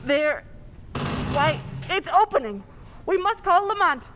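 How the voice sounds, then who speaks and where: A woman's voice: very high voice, very animated, slow, heavy background noise, heavily acted. An actress playing an earnest, anxious young lady sleuth in an old-time radio melodrama. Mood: eager.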